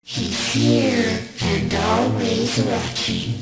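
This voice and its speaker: Casting: eerie game prophetess, swooping incantatory voice, echoing, loud music behind. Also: female voice, a bass voice, wildly swooping pitch, drawn-out words, vocal fry, exaggerated voice. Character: ominous all-seeing female animatronic prophet, eerie and solemn.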